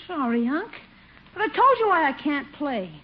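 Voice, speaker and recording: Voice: sits high, sing-song, moderate pace, a little hiss or hum. A performer playing a sulky, reluctant schoolboy nephew with a sullen, hesitant delivery in 1950s radio comedy style. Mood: sheepish excuses. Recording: muffled old radio recording.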